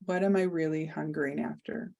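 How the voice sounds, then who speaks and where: A woman leading a guided meditation, speaking softly and soothingly with long pauses. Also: low voice, fairly even intonation, creaky.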